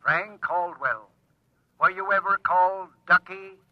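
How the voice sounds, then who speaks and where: A man voicing a helpful household computer that speaks in an even, announcer-like way, in a 1950s radio drama. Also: sits high, unhurried, dry recording, dull audio. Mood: prim.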